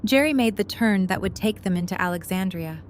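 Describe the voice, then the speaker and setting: A woman's voice: medium pitch, animated, a little fast, a little hiss or hum. A woman reading scripted third-person story narration for an audio drama.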